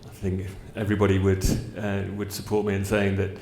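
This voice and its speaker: A low-voiced man answers a question on a panel, hesitant and pausing on fillers. The sound is roomy, with faint noise underneath. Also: completely flat delivery, a little mellow. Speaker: commercial director on a panel. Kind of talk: panel answer at a fans' forum.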